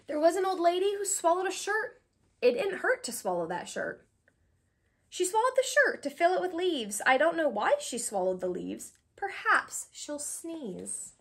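A woman around her thirties reading a children's picture book aloud, expressive and sing-song, with drawn-out pauses for young listeners. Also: very high voice. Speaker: read-aloud host, tutor. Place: small indoor room with bookshelves.

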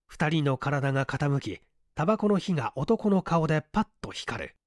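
A man's voice: a little high, big pitch swings, normal speed, no room sound, clean studio sound. A man reading a mystery story aloud as scripted audiobook narration. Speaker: audiobook reader.

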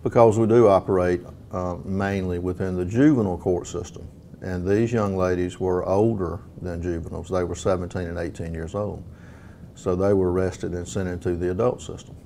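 A man in his fifties giving a measured, explanatory account in an interview as a program director. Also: mellow. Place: meeting room indoors. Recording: clear background rumble.

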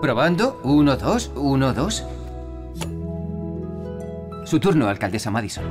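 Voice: medium pitch, sing-song, measured pace, music in the background. An actor playing a reassuring, capable builder and team leader in a Castilian Spanish children's cartoon dub. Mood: reassuring, directive. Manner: encouraging, directive.

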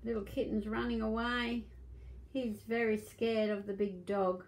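A woman's voice: fairly high pitch, level tone, measured pace, low-quality recording. A middle-aged woman reading aloud to young children as a storytime host, in a simple, questioning, child-directed manner. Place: small domestic room indoors.

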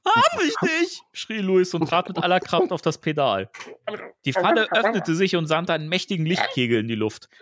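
A man's voice: fairly high pitch, animated, fairly quick, a bit bright, dry recording, clean studio sound. A man with a German accent, co-hosting a podcast, reading a story playfully with dramatic emphasis and joking asides. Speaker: podcast co-host reading a film novel aloud.

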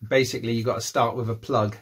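A man in his fifties presenting a casual hands-on how-to vlog, informal and low-key, thinking aloud as he works. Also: flat delivery, fast talker, breathy edge. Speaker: hobbyist presenter. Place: at home, indoors and in a workshop. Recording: noisy home-made recording with clicks.

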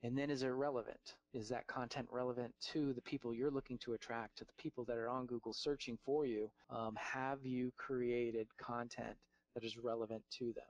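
A man walks through marketing slides in a webinar, his pitch sitting in a middle range. He talks off the cuff and stops often to restart. The sound is dry and close, with no room around it. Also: flat delivery, no echo. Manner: halting, many fillers and restarts. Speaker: marketing presenter.

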